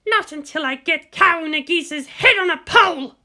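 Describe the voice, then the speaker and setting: Woman acting a high, snarling, wounded fighter girl. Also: lively intonation, moderate pace, pushed and bright, full character voice. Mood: pained, furious. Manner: snarling, emphatic, swearing. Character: wounded, hot-tempered, vengeful young woman fighter.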